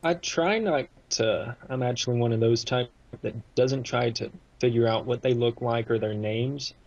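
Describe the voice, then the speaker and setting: A man's voice: mid-range voice, normal speed, darkish tone. A man chatting casually as a podcast guest over a lo-fi voice call. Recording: dull, lo-fi voice call.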